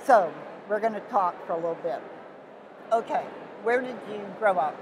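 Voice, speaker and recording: A woman's voice: mid-range voice, lively intonation, moderate pace, noisy background. An older woman interviewing a colleague for an oral history, asking short, plain questions. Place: small booth at a conference, indoors. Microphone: lapel mic.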